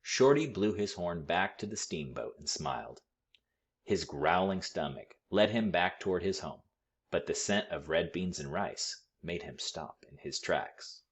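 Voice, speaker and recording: A man reading a children's story aloud with expressive, deliberate, dramatic phrasing. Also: sits low. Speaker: storybook read-aloud reader.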